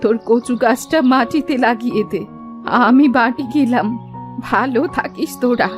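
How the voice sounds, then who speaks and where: A high-pitched old village auntie, acted by a woman, speaks in an effusive, motherly, folksy way. She is a grateful, affectionate cartoon fairy-tale elder, with faint music playing behind her. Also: moderate pace, lightly acted. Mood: kindly, insistent. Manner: effusive, folksy, motherly way of addressing younger people.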